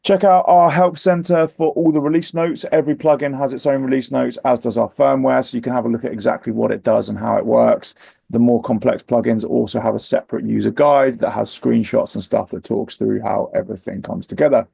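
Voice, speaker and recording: Male product presenter, heavy vocal fry, mellow and husky, informal and explanatory. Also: medium pitch, steady tone, brisk, no echo, clean studio sound. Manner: explanatory, matter-of-fact.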